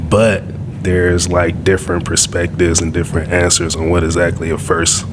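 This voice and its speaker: A man in his twenties, born in Nigeria and raised in Georgia, talks as a podcast guest in a relaxed, easygoing way. His voice sits very low. A clear rumble of noise runs under the recording. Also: moderate pace. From Georgia, USA, born in Nigeria. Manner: relaxed, easygoing, many fillers. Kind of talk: casual conversation.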